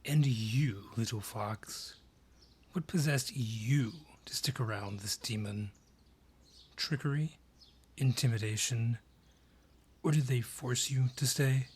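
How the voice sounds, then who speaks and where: A man voices a menacing male dryad, lord of his forest, in a fantasy audio drama. The voice is husky and breathy, unhurried and deliberate, dripping with mockery and condescension. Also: medium pitch, a little mellow. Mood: mocking, accusing. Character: haughty, sly male dryad ruling a forest, menacing and mocking. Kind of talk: fantasy audio roleplay acting.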